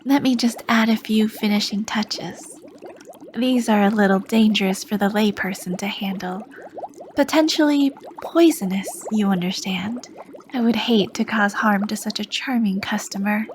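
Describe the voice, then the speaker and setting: A woman voicing a charming, sly female potion master as a shopkeeper in an ASMR roleplay, speaking softly and flirtatiously and often dropping into whispers. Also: medium pitch, normal speed, slight background noise, natural voice. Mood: soft flirty. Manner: soft, flirty, drifts into whispers.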